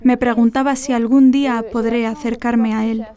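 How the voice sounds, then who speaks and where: A young woman in her twenties tells her own story in an earnest, reflective way. Her voice sits a little high, with a mellow, warm tone. She speaks in a measured, sincere manner as an interviewee. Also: level tone. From France. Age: 20s. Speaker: interviewee, graduate student. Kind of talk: personal testimony.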